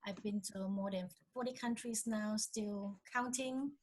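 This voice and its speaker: A woman's voice: mid-range voice, fairly even intonation, measured pace, darkish tone. A woman from Singapore introducing herself as a guest speaker on a video call, upbeat and chatty. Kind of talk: self-introduction on video forum.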